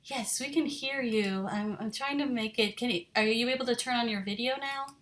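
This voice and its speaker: A woman's voice: on the high side, brisk, a bit bright. Woman moderator on a video call. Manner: helpful, brief technical prompts. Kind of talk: casual meeting coordination.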